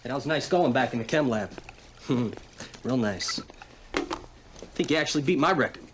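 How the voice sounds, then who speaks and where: An actor playing a laid-back, cocky fellow soldier in a game cutscene, talking in a slangy, teasing way. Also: male voice, mid-range voice, animated, measured pace, noisy background, natural voice. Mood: friendly, teasing. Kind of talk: casual video game cutscene acting.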